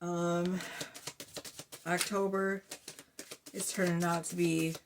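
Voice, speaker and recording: A woman giving a casual tarot reading to her viewers, blunt and profane in her chatty way, talking through a sore, slightly hoarse throat. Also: fairly low pitch, level tone, slow pace, background noise. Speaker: tarot reader.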